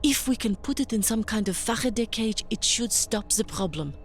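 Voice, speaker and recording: A woman's voice: a little high, lively intonation, moderate pace, slight background noise. A woman playing an inquisitive scientist in an audio drama, explaining things in a methodical, formal manner. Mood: analytical, curious.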